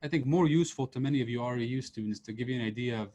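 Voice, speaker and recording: A man in his thirties, originally from Serbia, talks students through his slides on a video call with his camera off. His voice sits at an ordinary middle pitch. He speaks loosely, with plenty of fillers and restarts, in an easy lecturing tone. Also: very fast, dark tone, highs cut off. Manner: casual, many fillers and restarts. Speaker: professor giving a seminar. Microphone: computer mic on a video call, camera off.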